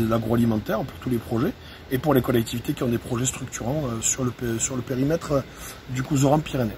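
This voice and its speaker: A man's voice: mid-range voice, darkish tone. A man speaking fluently as a regional elected official in an interview, in a political register. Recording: faint ambient background noise.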